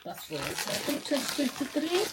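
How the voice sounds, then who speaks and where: A woman's voice: sits high, big pitch swings, moderate pace, very noisy. Chatty young woman vlogging. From Kenya. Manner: chatty and upbeat, rambling with restarts. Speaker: lifestyle vlogger. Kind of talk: casual sit-down vlog chat.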